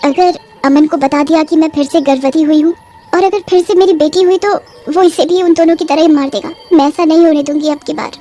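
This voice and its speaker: A woman playing a loving young wife and mother, emotional and expressive, in a cartoon drama. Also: sits high, brisk, lightly acted. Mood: resolute. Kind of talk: Hindi horror cartoon voice acting.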